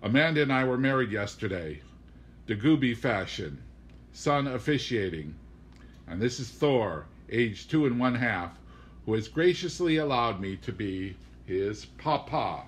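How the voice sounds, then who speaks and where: A man's voice: mid-range voice, big pitch swings, measured pace, slight background noise. A man voicing a courtly, eccentric circus man in an audiobook, with formal, ornate and ceremonious delivery. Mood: proud. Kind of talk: audiobook character voice.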